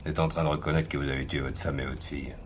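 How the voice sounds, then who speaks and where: An actor playing a blunt, sardonic, hard-nosed police commissioner who bullies a suspect in a French radio crime drama. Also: male voice, a bass voice, level tone, rapid-fire. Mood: hard, accusing. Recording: noisy old radio drama recording.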